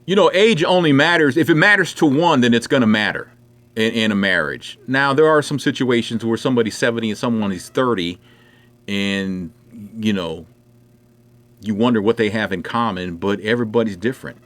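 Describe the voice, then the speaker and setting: A man's voice: medium pitch, brisk, fairly bright tone. A middle-aged man chatting casually on a livestream, answering viewer comments in a rambling way with many fillers. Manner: conversational, rambling with many "you know" fillers. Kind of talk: casual chat. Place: indoors at home.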